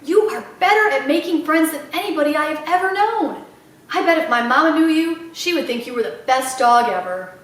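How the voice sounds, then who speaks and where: A woman's voice: high-pitched, expressive, fairly quick, far from the mic. A woman voicing an earnest, polite ten-year-old girl, sincere and pleading, in a children's storybook reading. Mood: hopeful, grateful. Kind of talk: children's storybook read-aloud. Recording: echoey room.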